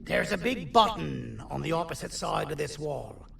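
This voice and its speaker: A very gravelly, high-pitched monster schemer. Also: male voice, lively intonation, moderate pace, fairly bright tone, exaggerated voice. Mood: instructing, wry. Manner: theatrical, drawling, sardonic, folksy turns of phrase. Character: four-armed tireless monster surgeon, bossy, sardonic, self-important. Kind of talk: video game character acting. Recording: roomy echo, distant, faint noise.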